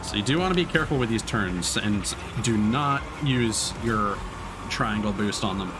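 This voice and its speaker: A man's voice: lowish voice, expressive, normal speed. Sweary male streamer over game music. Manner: sweary, grumbling. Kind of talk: live gameplay commentary. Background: racing game music and engine sounds.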